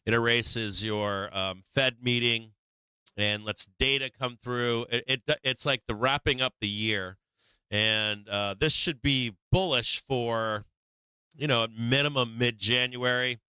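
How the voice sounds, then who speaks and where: A man hosting a stock market livestream, walking through charts in casual live commentary, halting and restarting as he searches for the right words. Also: medium pitch, bright, forceful tone, clear, resonant tone, dry recording, rough recording.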